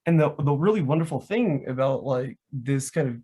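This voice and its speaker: A man's voice: medium pitch, animated, moderate pace, dark tone, husky. A man with a mid-range voice speaks as a guest in a casual, unscripted chat. He is enthusiastic about his hobby and rambles, dropping "like" into many of his sentences. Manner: enthusiastic, rambling, frequent "like" as filler. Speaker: guest, LARP foam crafter.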